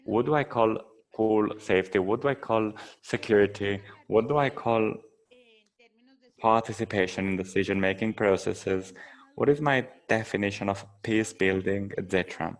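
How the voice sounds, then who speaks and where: A man with a Colombian accent who moderates a panel discussion and interprets the speakers into English, in a measured, formal way. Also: lowish voice.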